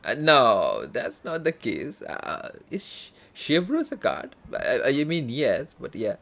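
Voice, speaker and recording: A man live streaming a game, reading text aloud haltingly with self-corrections and casual asides. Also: mid-range voice, wildly swooping pitch, gravelly, fairly bright tone. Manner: halting, stumbles and corrects himself while reading. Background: faint game music.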